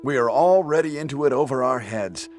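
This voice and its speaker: A man's voice: mid-range voice, brisk, close to an everyday voice. An actor voicing a brave young male agent in a 1990s video game, with stiff line readings. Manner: stiff, flatly delivered lines. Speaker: game character. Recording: music behind the voice.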